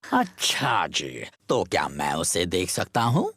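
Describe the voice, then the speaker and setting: A man's voice: low voice, wildly swooping pitch, slow, fairly bright tone, heavily acted. A man voicing a kindly, jovial bear doctor in a children's cartoon, with a reassuring, exaggerated sing-song delivery.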